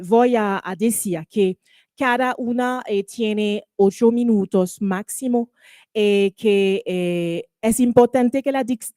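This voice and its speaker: A woman whose first language is French, moderating a panel in a second language, halting and searching for words with many fillers. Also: medium pitch, warm tone, clear, resonant tone, no room sound, studio quality. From Caribbean. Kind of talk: hesitant formal panel moderation.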